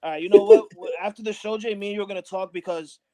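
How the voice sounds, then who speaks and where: Man, from new york, sports co-host, heated banter, swearing, slightly high voice. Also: fairly even intonation, fast talker, crowd noise, poor audio. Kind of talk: casual sports chat.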